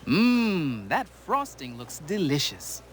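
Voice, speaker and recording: Guy narrating a kids tv segment, kinda high voice, really animated, playful script, noisy background. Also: takes it slowly.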